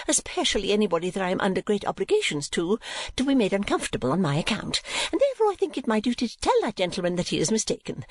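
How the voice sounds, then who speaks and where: A woman's voice: mid-range voice, no room sound, pitched above the performer's own voice. A woman voicing a fussy, self-important older mother who chatters primly about herself. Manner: prim, fussing, self-regarding chatter.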